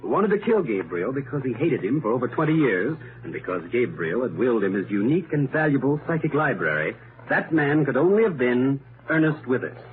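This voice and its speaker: An American actor playing a confident, brilliant amateur detective in 1930s radio mystery style, explaining things in a deliberate, methodical, emphatic way. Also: male voice, mid-range voice, very animated, close to an everyday voice. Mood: building to reveal. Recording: noisy, narrow-band 1930s radio recording.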